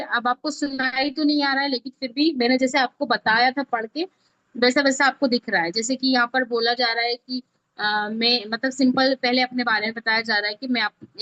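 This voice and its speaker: A woman's voice: fairly high pitch, bright, forceful tone, no echo. A woman instructor giving an informal step-by-step tutorial, often checking that listeners are following along. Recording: dull, lo-fi, dry close audio.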